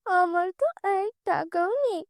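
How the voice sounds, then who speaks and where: A gentle, kind young cartoon heroine, voiced by a woman with a very high, bright voice. She speaks softly and earnestly in a dry studio recording. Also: a little slow, vocal fry, no room sound, heavily acted. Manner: soft, earnest. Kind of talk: cartoon dubbing.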